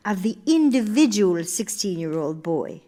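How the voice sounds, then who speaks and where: An actress playing a principled, dignified older in live radio theater, speaking in a measured, formal and earnest way. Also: female voice, medium pitch, very animated, mellow. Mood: thoughtful.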